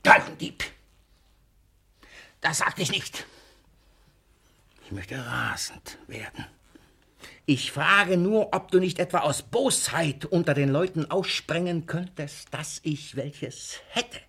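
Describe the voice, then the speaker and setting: An irascible old miser in a classic radio comedy. His voice is rough and gravelly, leaping from suspicious muttering to blustering outbursts. He sounds paranoid and bossy throughout. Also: a little high, lively intonation, slow pace, long pauses, pushed and bright, full character voice. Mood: fearful muttering. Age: older. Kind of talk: German stage-comedy radio play acting.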